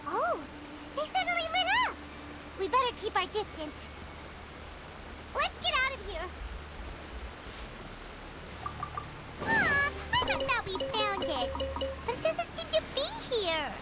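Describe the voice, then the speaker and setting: A woman voicing a tiny, excitable, childlike fairy companion in a squeaky video game voice. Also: measured pace, pauses often, heavily acted. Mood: alert, disappointed. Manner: exclamatory, refers to herself in third person. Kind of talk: English anime-style video game voice acting. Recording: loud game music behind.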